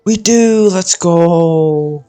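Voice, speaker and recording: A man's voice: on the high side, very slow, warm tone, soft background music. A man doing casual live gameplay commentary, rambling and thinking aloud. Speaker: game streamer.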